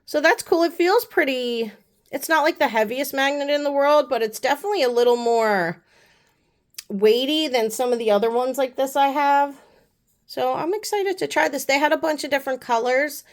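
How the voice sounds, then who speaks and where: Chatty, slightly high-voiced woman, flu-stricken. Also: moderate pace. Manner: chatty, rambling, lots of fillers. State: sick with the flu. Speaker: beauty vlogger. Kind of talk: casual haul chat.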